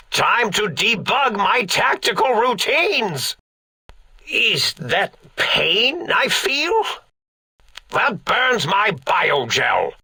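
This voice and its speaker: Dotty old mad scientist, slow, gravelly, theatrical game villain style. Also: male voice, sits high, sharp tone, dry recording, clean studio sound, full character voice. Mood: agitated battle bluster. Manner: rambling, stammering, theatrical self-corrections. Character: eccentric, absent-minded old mad scientist, a brain in a robot. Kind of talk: theatrical video game voice acting.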